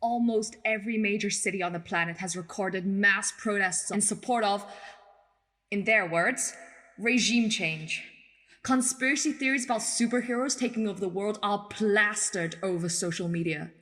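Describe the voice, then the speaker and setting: An actress playing a tough, plain-spoken ex-military leader in a radio play, making a frank and earnest speech. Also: female voice, mid-range voice, normal speed, fairly bright tone, natural voice. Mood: grave. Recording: long hall reverb.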